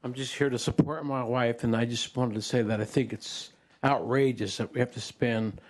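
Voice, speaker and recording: A man speaking up to support his wife, indignant and halting in a public comment. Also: medium pitch, steady tone. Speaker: parent's husband giving public comment.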